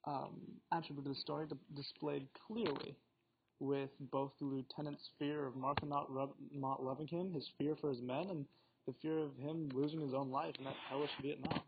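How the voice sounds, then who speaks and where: A man's voice: on the high side, level tone, moderate pace. A teenage boy hosting a student book podcast, reading his questions in a formal, slightly theatrical way. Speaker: podcast host. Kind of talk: scripted school podcast discussion. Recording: dull lo-fi recording with faint noise.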